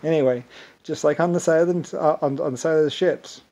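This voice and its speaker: A man with an Irish accent talking in a rambling monologue, halting often with restarts and fillers. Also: fairly high pitch, warm tone. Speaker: self-described inventor.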